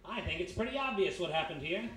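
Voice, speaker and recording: A man's voice: high-pitched, lively intonation, fast, a bit bright. A man playing a brash, wisecracking American private detective in a live 1930s-style radio play. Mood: confident, sardonic. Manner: wisecracking, slangy, explaining confidently. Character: brash American private detective, reformed thief, laying out a case. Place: live performance in a historic house. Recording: roomy live stage performance, faint noise.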